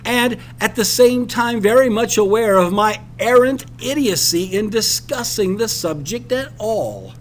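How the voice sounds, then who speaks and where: A man reading a literary passage as expressive scripted narration, with dramatic, deliberate phrasing. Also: high-pitched, a little hiss or hum.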